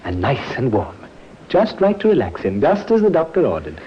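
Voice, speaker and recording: An actor playing a smooth-talking, scheming husband who sounds tenderly devoted, in old-time theatrical radio mystery style. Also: male voice, medium pitch, wildly swooping pitch, normal speed. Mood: solicitous. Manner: theatrical, solicitous. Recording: dull, noisy old radio recording.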